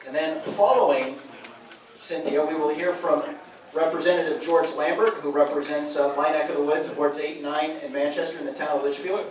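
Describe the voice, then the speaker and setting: A man's voice: a little high, steady tone, moderate pace, slight background noise. A man, a radio host emceeing a public meeting, telling stories in a folksy way with chuckling asides. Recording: echoey room from a distance.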